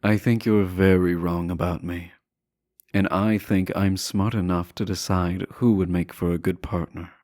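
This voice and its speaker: A man acting as a smug, self-assured royal prince in a romantic audio drama. His voice is very low and close, recorded dry, and he takes his time over each courtly, teasing phrase. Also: steady tone, a little slow, darkish tone, no room sound. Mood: probing, defensive. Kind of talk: romantic audio roleplay acting, addressing the listener.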